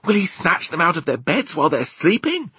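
A man's voice: a little high, very animated, a little fast, breathy edge, no room sound, voice pushed up, full character voice. A man voicing a tender-hearted, distressed little girl in an emotional, exclamatory way. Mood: horrified.

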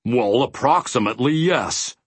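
A man's voice: medium pitch, animated, moderate pace, husky, no room sound. A man voicing a huge gelatinous giant in a storybook, ferocious and peevish but secretly timid and indecisive. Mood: peevish, dithering. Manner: bellowing, hedging, indecisive.

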